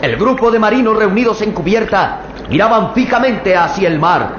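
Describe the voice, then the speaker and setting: A man's voice: sits high, animated, moderate pace. A man narrating a radio serial in a tense, suspense-building way. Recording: echoey, muffled old radio with loud hiss.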